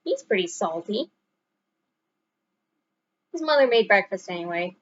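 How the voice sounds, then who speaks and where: A woman narrating a children's story aloud in an expressive storytelling manner. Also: medium pitch, a little slow, lo-fi sound. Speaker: storybook reader.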